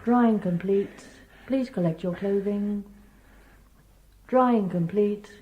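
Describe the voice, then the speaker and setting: A woman's voice: medium pitch, slow pace, narrow, tinny audio, slight background noise, close to an everyday voice. A woman playing an impassive automated announcement system, giving impersonal, scripted instructions in a radio drama.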